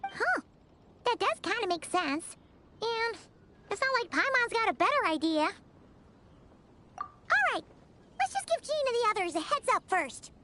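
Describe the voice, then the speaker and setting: A woman voicing a small, childlike, excitable fairy companion in a video game. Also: very high-pitched, unhurried, pauses often, a little hiss or hum, exaggerated voice. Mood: grudging agreement.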